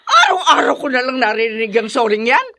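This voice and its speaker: A woman's voice: high-pitched, sing-song, fairly quick, pushed and bright, a little hiss or hum, heavily acted. A woman playing an irritable older mother-in-law in a Tagalog radio drama, nagging in exasperation. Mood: angry scolding.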